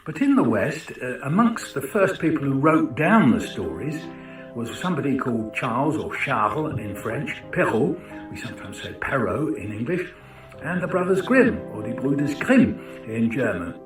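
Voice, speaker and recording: Male lecturer, conversational and questioning, mid-pitched, recorded at a distance with music behind. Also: sing-song, normal speed. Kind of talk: informal educational talk with rhetorical questions. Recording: roomy, distant mic with music.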